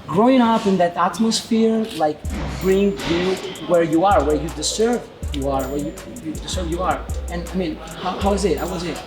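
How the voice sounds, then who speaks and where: Halting man interviewing over music. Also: high-pitched. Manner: halting, repetitive phrasing in non-native English. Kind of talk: informal sports interview. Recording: music playing behind the voice. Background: background music.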